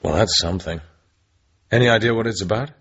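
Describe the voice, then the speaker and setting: An actor playing a suave, wry British secret agent in a radio drama, with an English accent and a dry, urbane manner. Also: male voice, lowish voice, fast talker, a bit bright, husky, muffled recording. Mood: wry, flirtatious.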